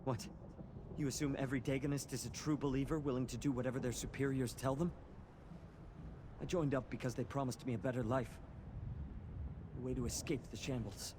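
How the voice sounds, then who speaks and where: A man's voice: medium pitch, fairly even intonation, brisk, long pauses, natural voice. A man playing a bitter, anxious cult initiate turned informant in a video game, grumbling and wary. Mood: indignant, desperate. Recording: clear background noise of game ambience.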